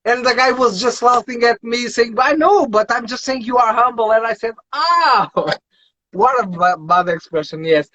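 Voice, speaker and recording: High-voiced Portuguese-accented man interviewing. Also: expressive, normal speed, bright, forceful tone. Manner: friendly, slightly non-native phrasing. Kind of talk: conversational interview.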